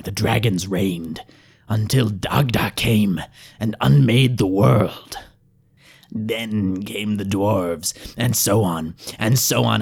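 A man voicing a battered hobgoblin priest, a fanatical cult prophet with a raspy, breathy voice and a slow, reverent, gasping delivery for a fantasy audiobook. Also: on the low side, full character voice.

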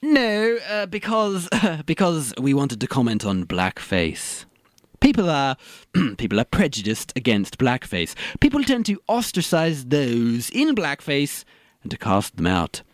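A man's voice: mid-range voice, very animated, measured pace, no room sound, clean studio sound. A man playing a self-righteous, oblivious and pompous theatre actor in a comedy mock interview. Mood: aggrieved, smug. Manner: pompous, chuckling, aggrieved.

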